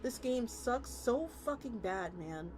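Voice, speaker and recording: A woman's voice: fairly high pitch, animated, slow. A woman livestreamer reading a story aloud with acted dialogue and chatting casually with her viewers. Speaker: VTuber streamer. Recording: music playing behind the voice. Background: music.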